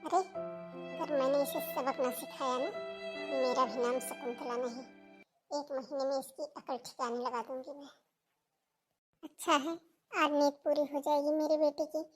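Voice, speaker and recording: A woman's voice: high-pitched, fairly even intonation, moderate pace, pauses often, faint music behind, close to an everyday voice, poor audio. A woman playing a scheming, scornful older mother who scolds and taunts in a Hindi cartoon moral story. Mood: bitter, plotting.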